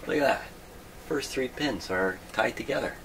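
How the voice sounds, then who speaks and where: A man thinking aloud in an unscripted workbench video, halting and puzzled, muttering to himself in a self-deprecating way. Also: mid-range voice, expressive, fairly bright tone. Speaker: host of a radio repair video. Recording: clearly noisy hobby video recording.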